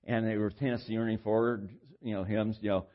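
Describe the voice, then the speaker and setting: An older man with a baritone voice preaching informally, halting and repeating words as he shares personal stories in a conversational way. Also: flat delivery, muffled recording. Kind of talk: informal sermon with personal anecdotes.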